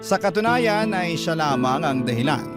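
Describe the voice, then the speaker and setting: Higher-voiced Filipino male storyteller over guitar. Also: expressive, normal speed. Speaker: radio storyteller reading a listener's letter. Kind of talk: scripted first-person narration. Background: prominent guitar music.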